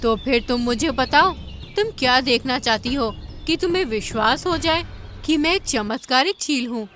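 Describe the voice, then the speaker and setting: A woman's voice: sits high, lively intonation, normal speed, a bit bright. A woman voicing a kind, inquisitive young female sparrow in a cartoon, earnest and questioning. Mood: challenging.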